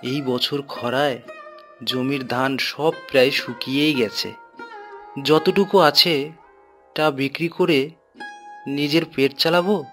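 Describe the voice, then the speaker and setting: Male actor as young villager for animated fable: humble, earnest, medium pitch, unhurried, light music behind. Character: dutiful, humble young village farmer son. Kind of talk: cartoon moral tale acting.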